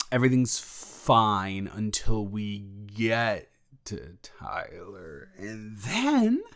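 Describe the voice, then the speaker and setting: A man playing a sheepish, protective best friend in an improvised audio roleplay, talking in a halting, rambling, awkwardly candid way. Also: mid-range voice. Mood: nervous, wry. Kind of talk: improvised audio roleplay, intimate conversational monologue.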